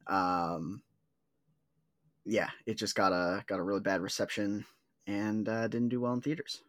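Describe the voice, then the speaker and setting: A man's voice: lowish voice, steady tone, a little slow, a bit bright, no room sound, studio quality. A man co-hosting a podcast, chatting casually about movies with many fillers like "like" and "uh". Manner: rambling, lots of "like" and "uh". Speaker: podcast co-host.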